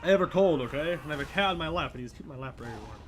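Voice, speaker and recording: A man's voice: fairly high pitch, expressive, rapid-fire, a little hiss or hum. A young man with a nasal, congested voice from a cold, giving rambling, chatty gameplay commentary. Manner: rambling, chatty, self-deprecating.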